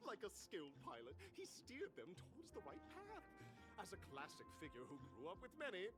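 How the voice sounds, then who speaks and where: Exuberant high-voiced game show announcer. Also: male voice, wildly swooping pitch. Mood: grandiose storytelling. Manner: showy, dramatic, drawn-out emphasis. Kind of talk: bombastic game show hosting. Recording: loud music under the voice.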